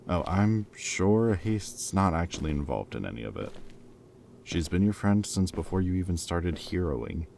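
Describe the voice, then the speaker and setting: A man's voice: low voice, very animated, moderate pace, gravelly, mellow, slight background noise, exaggerated voice. A man playing a former villain in an audio roleplay, a playful, loyal friend who talks in a casual, wry, bantering and hesitant way, as if in conversation. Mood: cautious, serious.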